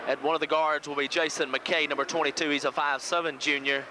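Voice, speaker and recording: A man's voice: fairly high pitch, little pitch movement, quick pace. A man from the United States giving pregame sports commentary as a local radio play-by-play announcer. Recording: noisy old VHS local radio audio.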